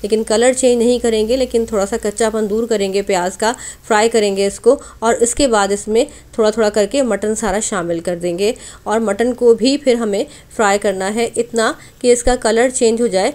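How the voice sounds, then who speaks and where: A woman with a fairly high voice narrates as the host of a cooking channel. She speaks in an instructional way, guiding viewers directly through each step as a tutorial voice-over. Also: a little mellow.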